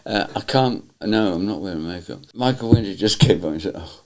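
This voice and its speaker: An older man who played the pantomime dame, reminiscing in an animated, mock-indignant way. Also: low-pitched, fairly quick, noisy background. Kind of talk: reminiscing interview.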